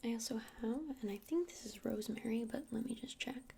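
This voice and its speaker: A woman whispering breathily and softly in an unhurried, hesitant ASMR roleplay as a gentle crystal shop witch, close to the microphone. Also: on the high side, vocal fry, slight background noise. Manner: hesitant, unhurried, soft-spoken. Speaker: ASMR performer playing a witch shopkeeper.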